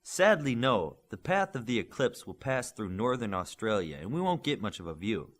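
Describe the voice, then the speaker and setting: A man voicing a polite, encouraging female schoolteacher in an audiobook, measured and instructive. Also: fairly low pitch, sing-song. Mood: pleasant.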